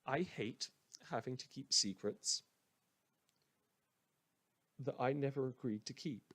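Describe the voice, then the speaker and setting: Slow, halting, troubled small-town radio host played by a man. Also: medium pitch, a bit bright. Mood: bitter, halting. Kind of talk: fictional radio monologue.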